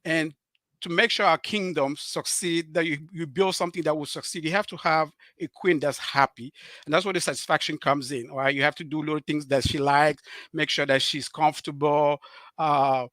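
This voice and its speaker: A middle-aged man from Cameroon with a Cameroonian French accent, a guest on a live show talking earnestly and haltingly, with many fillers and restarts. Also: on the high side, fairly even intonation, fairly bright tone, dry recording. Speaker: author and guest.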